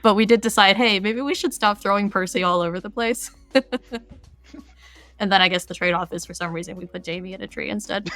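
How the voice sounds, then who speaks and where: A woman's voice: medium pitch, normal speed, pauses often, a bit bright. A woman chatting casually as a game developer being interviewed, often laughing through her remarks. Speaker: game developer, podcast guest.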